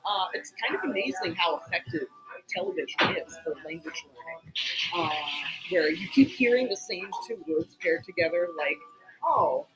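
A woman from Chicago hosting a casual podcast chat, upbeat and quick to laugh. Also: on the low side, animated, very noisy. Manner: laughs often, chatty and upbeat. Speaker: podcast host.

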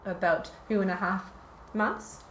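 A woman's voice: medium pitch, steady tone, a little slow, a bit bright. A woman from Canada, a researcher guest in a casual interview, speaking hesitantly with many fillers and frequent laughs. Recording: roomy with faint ambient noise.

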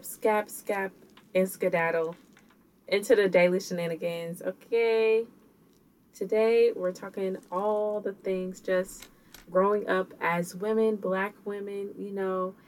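A woman's voice: mid-range voice, steady tone, slow pace, darkish tone. A woman co-hosting a podcast, chatting loosely with slang and leading segments. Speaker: podcast co-host. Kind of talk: casual podcast chat.

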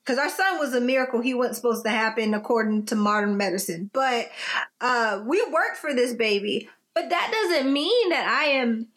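Pregnant woman cohosting a chat, high voice, lively, laughs a lot, acts out quotes. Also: normal speed. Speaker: podcast co-host. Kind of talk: casual conversational interview.

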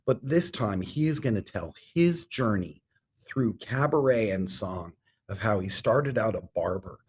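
A man's voice: on the low side, lively intonation, unhurried, husky. A middle-aged man speaking as a theatre director being interviewed on a podcast, articulate and enthusiastic, with ornate turns of phrase.

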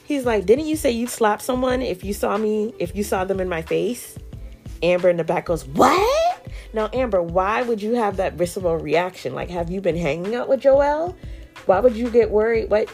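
A woman hosting a podcast, giving a sassy, opinionated recap of a dating show with lots of slang and quick asides. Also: mid-range voice, very animated, a little mellow, soft background music. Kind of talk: casual recap commentary.

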